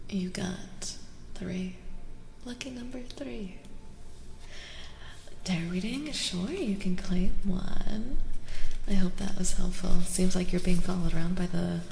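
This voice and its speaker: A woman streaming soft-spoken ASMR tarot readings to a live chat, gentle, reassuring and breathy, often slipping into whispers. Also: lowish voice. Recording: roomy reverberant sound with faint noise.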